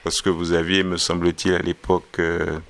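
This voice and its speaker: A man interviewing a guest, asking slow leading questions with fillers. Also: sits low, monotone, vocal fry.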